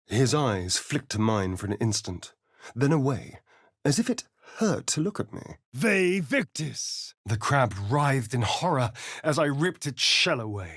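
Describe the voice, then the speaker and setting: A British actor playing a sly, vain vampire spawn rogue in a video game, with an arch, theatrical, drawling delivery. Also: male voice, mid-range voice, very animated, measured pace, fairly bright tone, no echo, studio quality, exaggerated voice. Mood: intense incanting. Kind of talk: dramatic video game voice acting.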